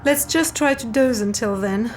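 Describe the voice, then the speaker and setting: A woman's voice: fairly high pitch, moderate pace, music in the background, natural voice. An actress playing a practical, tired woman in an audio drama. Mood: tired, reassuring. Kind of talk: full-cast audio drama acting.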